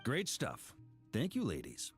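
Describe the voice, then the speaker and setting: An encouraging male squad leader in a video game. His voice is medium in pitch with lively swings, over soft music. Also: measured pace. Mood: brisk, encouraging. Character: brisk, friendly official marshalling a team.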